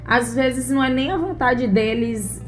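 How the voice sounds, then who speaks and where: A woman's voice: high voice, a little slow. Earnest woman, thoughtful interview guest. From Bahia, Brazil. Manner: thoughtful, many fillers and restarts, earnest. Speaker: interview guest, mother and former gymnast. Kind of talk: relaxed interview conversation.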